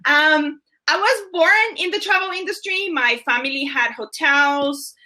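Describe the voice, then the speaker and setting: A woman from Bulgaria talks as a guest in an easygoing chat, enthusiastic and full of fillers. Her voice is high and bright. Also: measured pace, rough recording. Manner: enthusiastic, warm storytelling with many fillers. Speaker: travel blogger guest. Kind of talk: casual chat.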